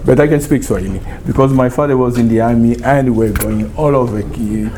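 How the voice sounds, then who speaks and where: A middle-aged man from Congo with a Congolese accent, a university professor giving a lively, informal talk to a small group, often laughing and switching languages. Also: mid-range voice, fairly quick, background noise. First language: Chiluba and Lingala.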